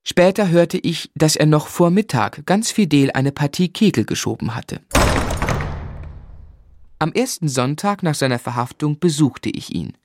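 An actor playing a grown man who narrates his own boyhood in a measured, reflective, literary way in a German radio drama. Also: on the high side, animated, a little mellow. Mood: matter-of-fact.

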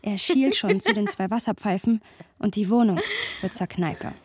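An actress playing a witty, argumentative woman in a radio play, musing wryly and digressively in a slightly husky voice. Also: medium pitch, lively intonation, normal speed, crowd noise, close to an everyday voice. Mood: wry, reflective. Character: Sophia, witty, argumentative woman musing about her friend. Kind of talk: radio play narration and dialogue.

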